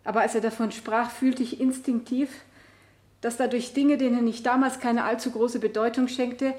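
An actress playing an anguished, betrayed wife on the witness stand in a radio play, emotional, halting and insistent. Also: female voice, on the high side, steady tone, a little mellow, natural voice. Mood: grave, remembering. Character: anguished, betrayed wife testifying against her husband. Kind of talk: 1970 German radio play acting.

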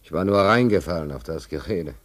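A wronged ship's stoker, deepish voice that swings all over, radio drama, blunt and griping. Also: male voice, lively intonation, a little fast, fairly bright tone. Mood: bitter, recounting. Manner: indignant, colloquial, protesting. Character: aggrieved sailor tricked into shipping as stoker's trimmer. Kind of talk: German radio play acting.

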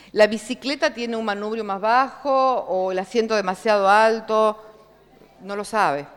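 A woman from Córdoba with an Argentine accent, telling and reading a story aloud at a book launch, slow and expressive. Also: mid-range voice. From Córdoba province, Argentina. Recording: reverberant hall.

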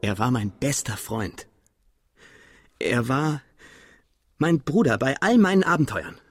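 A man's voice: mid-range voice, very animated, slow pace, slightly rough, dry recording, clean studio sound, heavily acted. A brooding, guilt-haunted young man. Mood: hurt, guilty. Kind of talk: literary radio drama acting.

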